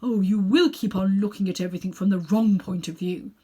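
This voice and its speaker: A woman's voice: mid-range voice, fairly even intonation, brisk, warm tone, breathy edge, close to an everyday voice. A woman voicing a sinister, self-important elderly male magician in a storybook reading, pompous, condescending and emphatic in manner. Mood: impatient.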